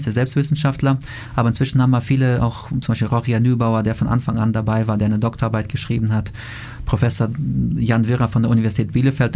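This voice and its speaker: A man speaks in a dark, mellow voice with little rise and fall, almost monotone. He explains patiently and in an orderly way, with small pauses and fillers. His first language is Plautdietsch, and the setting is a radio interview. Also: medium pitch. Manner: measured, explanatory, with frequent fillers. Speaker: interviewee, language association member.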